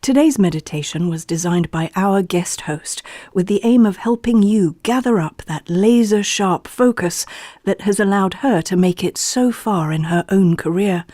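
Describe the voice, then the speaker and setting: An actress playing an earnest, unflappably polite wellness podcast host, introducing a meditation in a measured, gentle, deadpan way for an audio play. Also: female voice, mid-range voice, dry recording, clean studio sound, natural voice.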